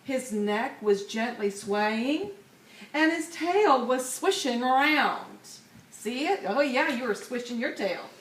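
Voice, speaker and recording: Slow-paced woman reading aloud to children. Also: on the high side, expressive, a bit bright. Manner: pauses to prompt and echo children's answers. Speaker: teacher reading a picture book to young children. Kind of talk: expressive storybook read-aloud with questions to children. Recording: echoey room, distant mic, noisy background.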